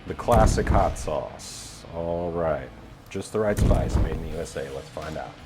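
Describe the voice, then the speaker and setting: A man's voice: rumbling low, lively intonation, a little slow, loud music behind the voice. A man who works in voiceover, chatting casually as an interview guest with a playful, wry manner. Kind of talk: casual chat.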